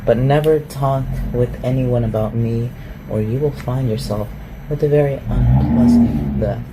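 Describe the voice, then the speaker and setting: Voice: mid-range voice, lively intonation, measured pace, noisy background. A performer in an ASMR roleplay voicing an ancient, reclusive shapeshifter, haughty and awkward, with formal, archaic phrasing. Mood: aloof, musing. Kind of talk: ASMR roleplay, scripted one-sided dialogue.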